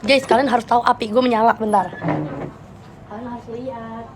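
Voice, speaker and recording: Chatty young woman with jakarta betawi slang, live stream chat, kinda high voice, faint hiss behind. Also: little pitch movement, brisk. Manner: chatty, playful, laughs often, switches registers.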